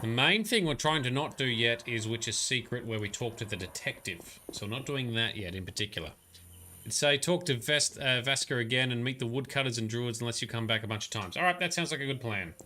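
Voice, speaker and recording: Brief: male streamer, bright tone, chatty and self-correcting, playing along with chat. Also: medium pitch, expressive, brisk, a little hiss or hum. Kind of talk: live gameplay commentary.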